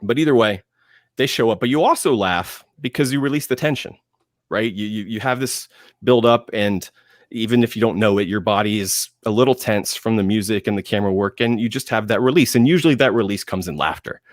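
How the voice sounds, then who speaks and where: A man speaking as a panelist in enthusiastic film talk, emphatic, with dramatic pauses and phrases repeated for effect. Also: fairly low pitch, no room sound, studio quality.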